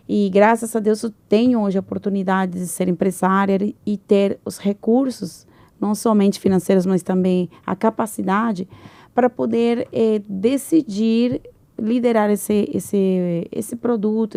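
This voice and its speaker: A woman with a Venezuelan accent, Spanish being her first language, introduces herself as a podcast guest. She talks in an earnest, measured way, in a mellow, warm tone. The sound is dry and close. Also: medium pitch, no echo. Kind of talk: podcast interview.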